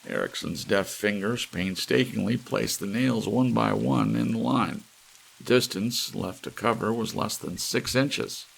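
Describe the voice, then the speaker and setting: A man's voice: fairly low pitch, unhurried, gravelly, husky. A man narrating a science fiction story as an ASMR audiobook. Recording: faint hiss with soft rain. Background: soft rain.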